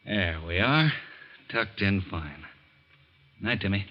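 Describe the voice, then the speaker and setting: An actor playing a young reformed safecracker who talks earnestly and protests defensively in a 1940s radio drama. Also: male voice, fairly low pitch, big pitch swings, slow pace, heavy background noise. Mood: gentle. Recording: dull old radio broadcast.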